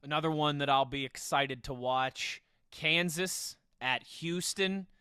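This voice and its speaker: A man's voice: fairly high pitch, unhurried, pushed and bright, smooth, no echo, clean studio sound. A man hosting a casual football podcast in a conversational way, steering the discussion. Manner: conversational, steers questions to co-hosts. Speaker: podcast host.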